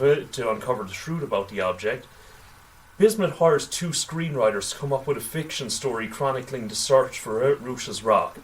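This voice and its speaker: A man co-hosting a casual film news podcast, sometimes reading items out, with halting delivery and wry asides. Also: mid-range voice, a bit bright. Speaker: podcast co-host. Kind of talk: casual film news discussion, partly read out. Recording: voice slightly distant from microphone.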